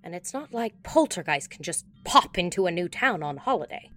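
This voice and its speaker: A woman's voice: fairly low pitch, sing-song, normal speed, fairly bright tone, no room sound, soft background music. A woman playing a practical, long-suffering ghost with a wry, skeptical manner in an audio drama. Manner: dry, exasperated, questioning.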